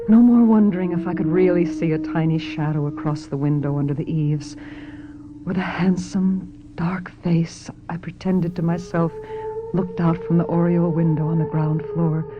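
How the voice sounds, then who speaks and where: An actress playing a wistful, troubled young female star in a radio drama, with a dreamy, breathy, dramatic delivery. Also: lowish voice, close to an everyday voice. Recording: dull lo-fi radio, music behind.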